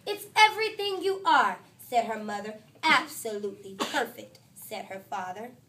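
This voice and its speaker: A woman teacher reading a picture book aloud to her class, with lively, expressive storytelling. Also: normal speed, far from the mic. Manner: expressive storytelling, voices quotes higher and louder.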